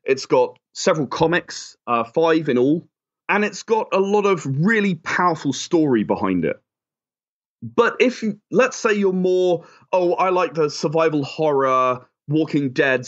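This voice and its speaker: Chatty man on dull, lo-fi audio. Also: mid-range voice. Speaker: interview guest, game creator. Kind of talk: conversational interview answers.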